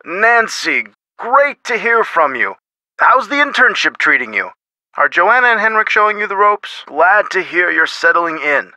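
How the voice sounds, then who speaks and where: An avuncular middle-aged lawyer from an adventure game. His voice is fairly high and very bright, with big melodic swings. He talks in a chummy, folksy, theatrical way, recorded close and dry. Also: sing-song, measured pace, dry recording, heavily acted, low-quality recording. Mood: cheerful. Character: genial, avuncular middle-aged male lawyer and museum board member. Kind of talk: video game voice acting.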